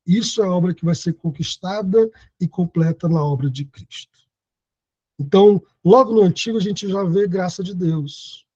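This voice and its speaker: A man in his thirties teaching an online Bible lesson, reading verses aloud and then unpacking them. His voice sits fairly high, with a mellow, husky tone. The audio is dry and dull, like a lo-fi video call. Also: moderate pace, no echo. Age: 30s. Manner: explanatory, reads scripture aloud and comments.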